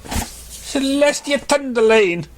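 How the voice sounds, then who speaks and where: A man's voice: extremely high pitch, expressive, slow, slight background noise, voice pushed up, full character voice. A man voicing a nervous, easily startled young male pegasus pony in an amateur story reading. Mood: startled, annoyed.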